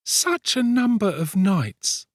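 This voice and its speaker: A man's voice: sits high, very animated, measured pace, darkish tone, no echo, studio quality, voice pushed up, heavily acted. A man voicing a weary, ailing young woman in an audiobook, tender, plaintive and coaxing. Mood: tender pleading. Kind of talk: dramatised audiobook reading of a classic novel.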